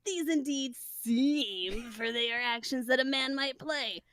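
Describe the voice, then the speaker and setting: महिला की आवाज़ में उदास युवा राजकुमार हैमलेट. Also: female voice, sits high, measured pace, a bit bright, no room sound. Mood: brooding, wry. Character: brooding young Danish prince Hamlet, played for laughs. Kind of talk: comic Shakespeare read-aloud.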